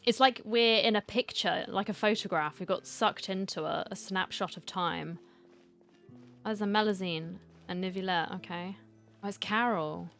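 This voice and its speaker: A woman gives casual reaction commentary as she plays a game. Her voice is medium in pitch and she talks informally, thinking out loud. Faint music runs underneath. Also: normal speed, gravelly. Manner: informal, musing aloud. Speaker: gaming video host.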